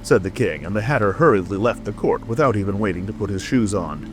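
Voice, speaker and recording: A man's voice: fairly low pitch, lively intonation, fast. A man reading a scripted storybook narration as a dramatic audiobook narrator. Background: music.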